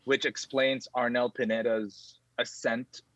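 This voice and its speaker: A man from the Philippines whose first language is Tagalog, speaking with an American accent as a guest in a casual interview, rambling with many fillers and sudden high jumps. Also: level tone, a little slow, fairly bright tone, dry recording, muffled recording.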